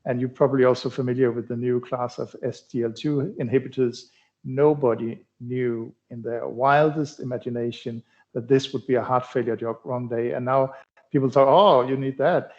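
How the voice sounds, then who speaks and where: A middle-aged man from Denmark, a cardiology researcher answering interview questions in a halting way, with many fillers and restarts. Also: medium pitch, fairly even intonation, a little mellow. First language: Danish. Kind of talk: conversational expert interview. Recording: dull lo-fi sound.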